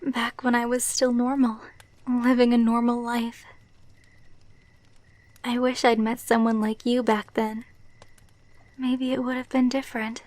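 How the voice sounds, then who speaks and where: A woman playing a gentle, wistful vampire girlfriend in an ASMR roleplay, speaking softly and tenderly. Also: fairly high pitch, level tone, no room sound, natural voice. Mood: wistful, nostalgic. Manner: soft, slow, tender, wistful delivery.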